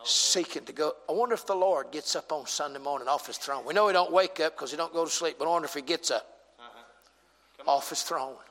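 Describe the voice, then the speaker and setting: A preacher in his forties who jokes with and teases his congregation, then rises into shouts. His voice is bright and slightly high. It carries with a long reverb through a church hall. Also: brisk. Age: 40s. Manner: humorous, teasing the congregation, builds to shouting. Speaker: pastor. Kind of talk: sermon. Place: church sanctuary.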